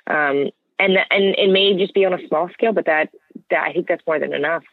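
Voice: mid-range voice, a little fast, no echo. An American interview guest speaking earnestly and conversationally, explaining points and often checking with "right?". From United States. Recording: narrow, tinny phone-line sound.

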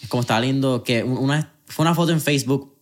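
Guy hosting a podcast, laid back and chatty, mid pitch, thinks out loud. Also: quick pace, soft background music. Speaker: podcast host. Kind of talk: casual interview chat.

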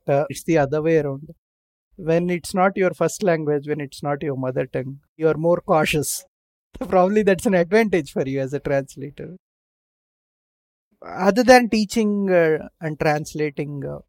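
A man's voice: on the high side, no echo. A male interviewer asking conversational questions, enthusiastic, with frequent filler sounds. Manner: warm, enthusiastic, with many filler "uh"s.

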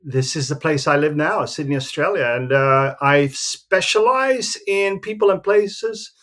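A man in his fifties who grew up in the Philippines, a photographer talking about his career in a relaxed, rambling way with many fillers. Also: fairly high pitch, a little slow. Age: 50s. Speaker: guest, professional photographer. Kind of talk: casual interview talk.

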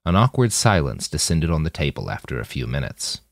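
A man's voice: a bass voice, big pitch swings, fairly quick, no room sound, studio quality. A man narrating a novel expressively, moving between storytelling and character dialogue. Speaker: fiction podcast narrator reading a novel.

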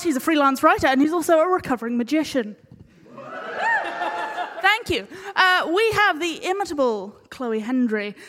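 Female live host, sharp bright tone, irreverent and jokey, excitable delivery, long hall reverb. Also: high-pitched. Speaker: panel chair. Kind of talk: informal, jokey live panel hosting.

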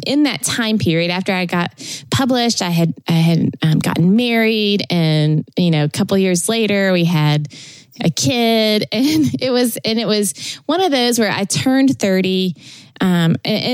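A woman's voice: on the low side, no echo. A woman in her thirties from Tennessee, a podcast guest telling her story in a chatty, rambling way, laughing often between fillers. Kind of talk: casual interview storytelling.

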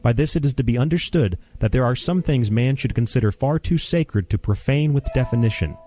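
Give me a male announcer reading a formal promo in a mellow voice. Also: mid-range voice, expressive, a little fast. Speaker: radio ad announcer. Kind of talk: scripted promo read.

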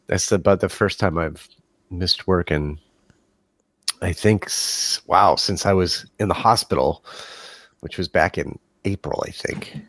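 A man's voice: sits low, measured pace, fairly bright tone, no room sound. A man chatting casually on a podcast while congested from a cold, rambling in a self-deprecating way. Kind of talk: casual chat.

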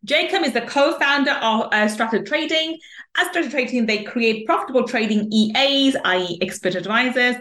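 A woman with a fairly high voice hosts an interview podcast. Her delivery is effusive and emphatic, speaking directly to guest and listeners. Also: a bit bright. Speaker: podcast host.

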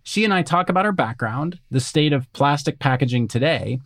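Male podcast host, reflective questioner, light high-ish voice. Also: lively intonation, fairly quick, fairly bright tone. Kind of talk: interview podcast.

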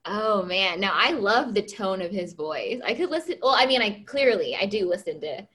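A woman's voice: medium pitch, lively intonation, moderate pace, fairly bright tone. A woman in her mid-thirties co-hosting a casual chat, bubbly and gushing, often giggling. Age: 30s.

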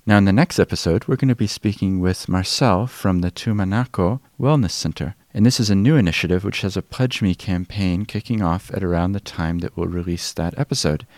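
A man hosting a podcast, reading a scripted introduction and then asking gentle, hesitant questions. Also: deep voice, a little fast, few pauses, no echo. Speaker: podcast host.

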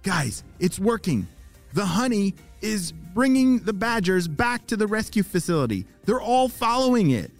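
Man doing an excited boy spy, high pitch. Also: normal speed, creaky, hardly any pauses, soft background music, full character voice. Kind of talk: children's audio story character.

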